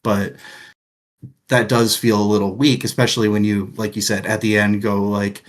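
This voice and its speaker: A man's voice: on the low side, little pitch movement, normal speed. A man co-hosting a casual book discussion, talking in a rambling, thoughtful way with plenty of filler words. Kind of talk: casual chat.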